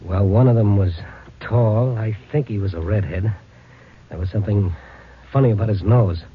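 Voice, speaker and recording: A man's voice: fairly low pitch, normal speed, husky. Stoic wounded patrolman, thin old recording. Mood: pained, stoic. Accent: American. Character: wounded male patrolman, weak but stoic, reporting. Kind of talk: old-time radio drama acting. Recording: thin, narrow, noisy old radio recording.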